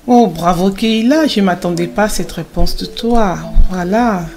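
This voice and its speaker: A woman playing a kind mother who gives children a Bible lesson in an animated film, encouraging and explanatory, with some hesitations. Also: mid-range voice, a little slow, faint music behind, natural voice.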